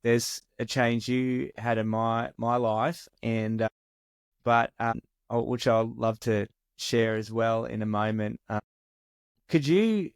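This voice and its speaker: A man hosting a podcast, asking questions in a friendly, halting way with many fillers. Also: mid-range voice, clear, resonant tone, dry recording, clean studio sound. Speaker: podcast host. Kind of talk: casual interview.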